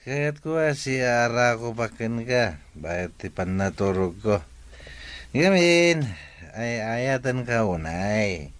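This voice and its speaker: An actor playing a brash, wheedling, jealous young boyfriend who is drunk, in a radio drama. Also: male voice, mid-range voice, lively intonation, takes it slowly, clear, resonant tone.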